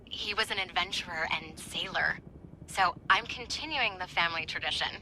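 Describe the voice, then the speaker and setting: An enthusiastic young researcher in a game cutscene, eager and gushing about history. Her voice is very bright yet pitched slightly low. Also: normal speed, a little hiss or hum, low-quality recording. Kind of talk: video game cutscene acting.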